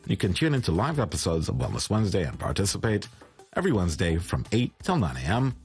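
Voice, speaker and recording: Male announcer, deep animated voice, scripted promo read with soft music behind. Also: quick pace. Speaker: radio announcer. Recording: radio promo over music.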